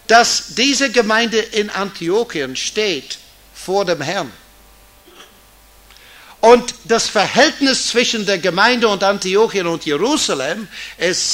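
Slow, high-pitched man teaching. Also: bright, forceful tone. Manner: deliberate, didactic, with rhetorical questions and emphasis. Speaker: Bible teacher. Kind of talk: Bible teaching talk to an audience.

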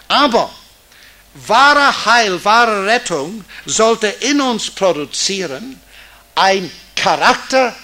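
Slow, high-pitched man teaching. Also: pushed and bright. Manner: deliberate, didactic, with rhetorical questions and emphasis. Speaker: Bible teacher. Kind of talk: Bible teaching talk to an audience.

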